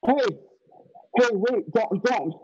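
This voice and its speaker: An earnest young cowboy, acted by a man, high-pitched and mellow with a husky edge. He stammers gently through a radio western in rustic speech. The audio is dull, like a video call. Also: takes it slowly, exaggerated voice. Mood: urgent, soothing. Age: young adult. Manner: stammering, hesitant. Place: home room on a video call.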